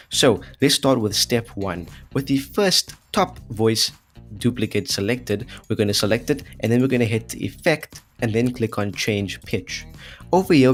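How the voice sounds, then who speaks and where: A man hosting an audio effects tutorial in a casual, chatty, jokey way, talking informally to viewers. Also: lowish voice. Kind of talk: casual scripted tutorial narration.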